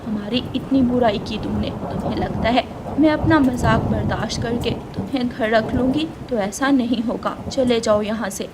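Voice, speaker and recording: A woman's voice: a little high, moderate pace, very noisy, natural voice. A woman voicing a stern female bird who scolds firmly in a Hindi cartoon fable. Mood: indignant. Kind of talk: Hindi moral-story cartoon dubbing.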